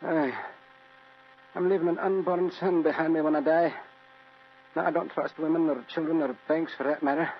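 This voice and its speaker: An actor playing a dying, stubborn Scottish baronet and wounded soldier in an old radio drama. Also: male voice, a little high, fairly even intonation, moderate pace, pauses often, lightly acted. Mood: weary, determined. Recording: muffled old radio recording with hum and hiss.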